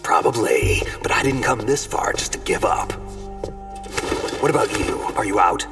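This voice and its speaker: An actor playing a terse, stoic, world-weary soldier hero in a video game, in a breathy growl. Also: male voice, fairly low pitch, animated, moderate pace, far from the mic, over music. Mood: determined.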